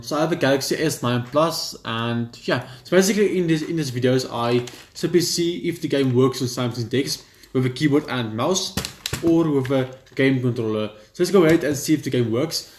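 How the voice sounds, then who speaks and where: Young man, halting, in an echoey room. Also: medium pitch, a little mellow. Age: young adult. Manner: halting, stumbles when reading aloud. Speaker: gaming video host. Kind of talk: casual gameplay commentary and reading aloud. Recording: roomy echo, clear background noise.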